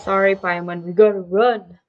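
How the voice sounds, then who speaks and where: A woman hosting a gaming channel, chatting casually and excitably to viewers and sometimes to herself. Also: mid-range voice, moderate pace. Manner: informal, excitable, talks to herself. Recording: dull lo-fi mic over game music. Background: game music.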